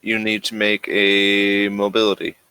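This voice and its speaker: A man running a tabletop horror game as game master, narrating in a slow, suspenseful way with pauses. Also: lowish voice, completely flat delivery, sharp tone, clear, resonant tone, no echo, rough recording.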